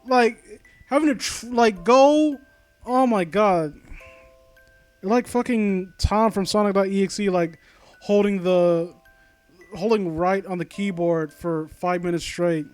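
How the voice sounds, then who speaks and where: Higher-voiced sarcastic man over game music. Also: slow. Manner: sarcastic, exasperated, casually profane. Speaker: host reading and critiquing an online horror story. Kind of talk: reading a story aloud with off-the-cuff mocking commentary. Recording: video game music playing behind. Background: glockenspiel-like video game music.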